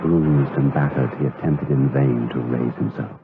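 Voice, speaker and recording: An actor narrating a gothic tale gravely, as scripted audio drama narration. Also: male voice, extremely low pitch, fairly even intonation, normal speed. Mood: grave, somber. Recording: lo-fi band-limited audio, very loud noise.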